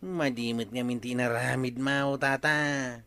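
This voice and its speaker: A man's voice: mid-range voice, fairly even intonation, a little slow, sharp tone, no room sound. A man voicing an earnest young villager who mediates a quarrel in a radio comedy drama.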